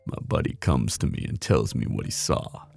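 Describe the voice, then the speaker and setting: A man playing a flirty, menacing mob boss in an intimate ASMR roleplay, with a teasing, cocky drawl and a deep, gravelly voice. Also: expressive, moderate pace, a little mellow, soft background music, heavily acted. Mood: smug, businesslike.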